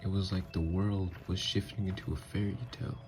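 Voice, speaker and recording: A man playing a gentle, haunted, newly-turned vampire lover in an ASMR audio drama, speaking in hushed, halting, hesitant phrases. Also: low-pitched, fairly even intonation, music in the background. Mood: wistful, awed.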